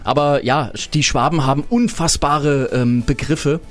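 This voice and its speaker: A man's voice: a little high, unhurried. A man with a Swabian-tinged German accent, a guest on a radio talk show, telling stories and laughing often. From Germany (Berlin). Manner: lively storyteller, laughs often. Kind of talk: casual radio chat.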